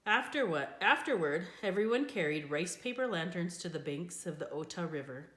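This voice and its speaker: A woman reading a children's book aloud in an expressive storytelling style. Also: lowish voice, moderate pace. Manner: expressive, with character voices for dialogue. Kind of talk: scripted storybook narration, read aloud. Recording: echoey room.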